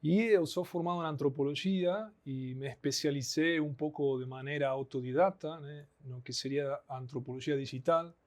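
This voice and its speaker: Argentine man explaining in measured Portuguese. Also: on the high side. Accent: Argentine Spanish. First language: Spanish. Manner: explanatory, measured, frequent "né" and "eh" fillers. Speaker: guest, anthropologist. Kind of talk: podcast interview.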